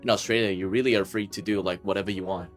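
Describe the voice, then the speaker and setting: A young man from Spain, a Spanish speaker by birth, talks easily in a low voice and sprinkles his answers with fillers. Faint music sits under him. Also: quick pace. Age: young adult. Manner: relaxed, uses lots of "like" fillers. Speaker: international student. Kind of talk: casual interview answers.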